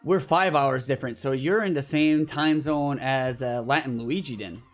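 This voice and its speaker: A man's voice: mid-range voice, normal speed. Rambling guy streaming a game. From Canada. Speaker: livestream host. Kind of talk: casual gaming stream chat. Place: at home in an apartment, live voice chat. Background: background music.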